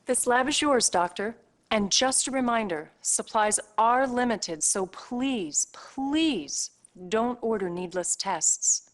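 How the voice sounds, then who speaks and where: An actress playing a brisk hospital staffer in a video game cutscene, instructing and teasing the player in a pointed way. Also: female voice, fairly high pitch, lively intonation. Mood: brisk, scolding. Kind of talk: acted game cutscene dialogue addressed to the player.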